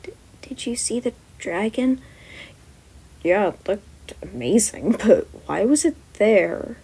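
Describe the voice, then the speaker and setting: A woman voicing excitable, curious teenage students chatting eagerly in an audio story. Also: mid-range voice, takes it slowly, husky, exaggerated voice. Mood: excited.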